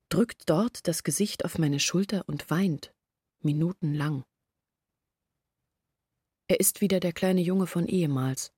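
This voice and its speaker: A woman's voice: lowish voice, a little mellow, slightly rough, no echo, clean studio sound. An actress playing a troubled young woman who earnestly recounts her family's long hardship in a literary radio drama. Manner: earnest, reflective storytelling in long sentences. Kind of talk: German literary radio drama acting, monologue.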